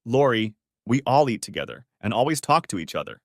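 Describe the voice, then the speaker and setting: A man's voice: mid-range voice, very animated, fairly quick, no room sound, clean studio sound. A man voicing a polite, understated, neglected husband in a narrated story. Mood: wry. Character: quiet, neglected married man on holiday.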